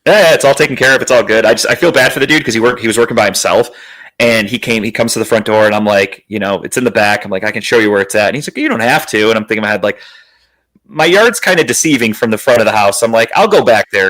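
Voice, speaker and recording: Slightly raspy man rambling on a podcast. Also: lowish voice, fast, fairly bright tone, poor audio. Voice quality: slightly raspy, worn, phlegmy. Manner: rambling, profane storytelling. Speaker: podcast host. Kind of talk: casual podcast chat.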